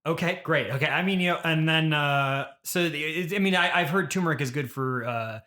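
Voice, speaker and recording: A man hosting a comedy interview, hesitant and politely puzzled, keeping a deadpan tone. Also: a little high, brisk. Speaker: podcast host, interviewer.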